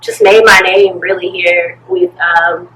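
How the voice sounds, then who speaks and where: A woman, chatting casually as an interview guest and telling her story in an animated way. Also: lowish voice, unhurried. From Birmingham, Alabama, USA. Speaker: interview guest, pole studio owner and event planner. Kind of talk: casual chat. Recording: slightly distant mic, noisy room ambience.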